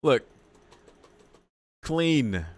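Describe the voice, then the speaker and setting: A man's voice: on the high side, expressive, extremely slow, no room sound. A man doing casual gameplay commentary as a video host, reacting with exclamations and mock exasperation.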